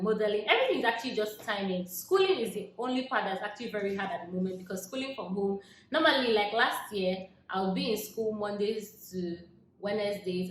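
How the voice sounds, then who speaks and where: A woman in her twenties with a Nigerian accent, chatting casually to camera as she answers viewer questions, laughing often and dropping into soft asides. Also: medium pitch. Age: 20s. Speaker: vlogger. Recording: echoey room with faint noise.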